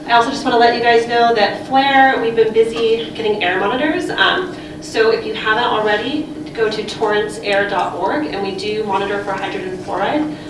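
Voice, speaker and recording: A woman's voice: fairly high pitch, moderate pace, noisy background. A woman who founded a community group gives a friendly, upbeat public comment, saying "um" often. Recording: echoey room, distant mic.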